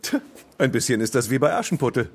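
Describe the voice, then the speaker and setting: Genial, husky policeman. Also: mid-range voice, quick pace. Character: genial small-town policeman, explains things to children.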